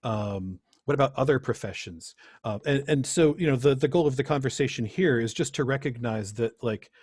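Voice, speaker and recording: Husky-voiced man presenting informally. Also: medium pitch, moderate pace, a little mellow, dry recording, clean studio sound. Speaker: presenter, teacher.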